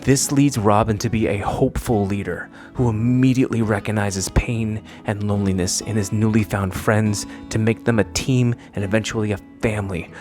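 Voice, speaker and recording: A man's voice: on the low side, fairly even intonation, normal speed, few pauses, darkish tone, soft background music. A man reading scripted narration for a fan video essay.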